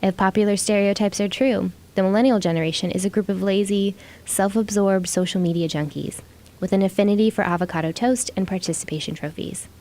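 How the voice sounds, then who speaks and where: A woman's voice: medium pitch, fairly quick, mellow. An American woman reading scripted narration as a student reporter.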